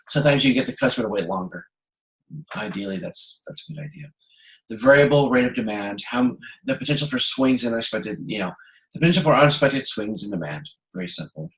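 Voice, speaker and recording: Guy teaching an online class over video call, explains stuff step by step, kinda halting, medium pitch. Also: animated, gravelly, a bit bright, rough recording. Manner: halting, explanatory, often checking understanding. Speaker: university instructor. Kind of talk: online lecture working through a problem.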